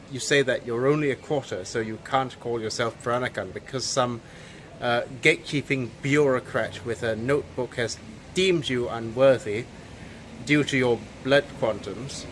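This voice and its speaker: A man from Penang, Malaysia, speaks earnestly to his viewers in a mid-range voice, often stopping on "uh" and "um" as he collects his thoughts. A steady hum of air conditioning and other noise sits clearly behind him. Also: medium pitch, poor audio. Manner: earnest, hesitant with frequent fillers. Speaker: video host. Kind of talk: opinion monologue to camera.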